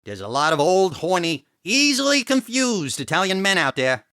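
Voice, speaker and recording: A woman's voice: on the low side, sing-song, moderate pace, fairly bright tone, no room sound, studio quality. An actress playing a cheeky, wisecracking female sidekick in a comedy audio drama, with a teasing, wry delivery.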